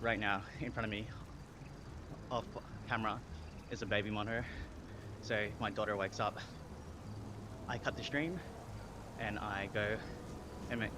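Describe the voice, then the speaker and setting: A man's voice: fairly low pitch, background noise. A man in his thirties with an Australian accent, chatting casually on a live stream while riding an indoor bike trainer, a little out of breath. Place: home indoor cycling setup.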